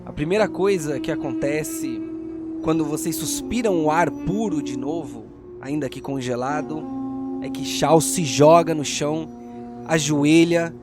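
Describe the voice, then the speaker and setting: A man with a Brazilian accent hosting and narrating a tabletop RPG audio drama as game master, in a theatrical, deliberate storyteller's manner. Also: fairly high pitch. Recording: music playing behind the voice. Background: music.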